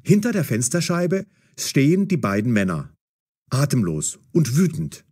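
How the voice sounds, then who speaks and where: A man narrating a scripted audio story as storyteller, with a husky voice. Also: mid-range voice, wildly swooping pitch, unhurried, a little mellow.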